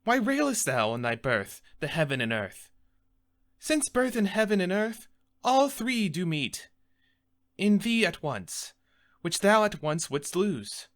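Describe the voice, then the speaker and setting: Classical theatre: scolding male friar man acting, declamatory and exasperated, big pitch swings, higher register. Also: big pitch swings, a little slow, a bit bright, heavily acted. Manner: declamatory, rebuking, emphatic. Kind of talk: Shakespearean theatrical monologue.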